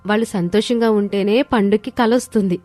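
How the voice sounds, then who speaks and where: A woman voicing a gentle, selfless eldest daughter-in-law, respectful and traditional, with a polite, pleading manner. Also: mid-range voice, fairly quick, natural voice. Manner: polite, soft-hearted, pleading. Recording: studio voice over background music.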